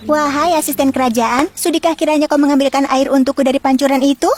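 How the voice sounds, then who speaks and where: A woman voicing a gentle, polite young princess in a children's fairy tale, with a plaintive manner. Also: high-pitched, fairly even intonation, fast talker, faint music behind, pitched above the performer's own voice, natural voice. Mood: politely requesting.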